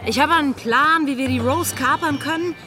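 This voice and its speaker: Woman acting bold young pirate leader lady, highish voice, sure of herself and teasing, audio drama w music under it. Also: moderate pace, lightly acted. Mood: confident, commanding. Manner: assured, persuasive, teasing.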